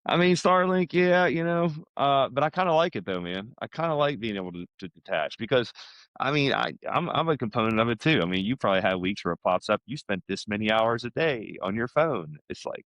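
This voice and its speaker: A man's voice: medium pitch, animated, fairly quick, a bit bright, no room sound. A middle-aged American man chatting casually, telling stories in a rambling way. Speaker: podcast guest, salesman. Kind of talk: casual chat.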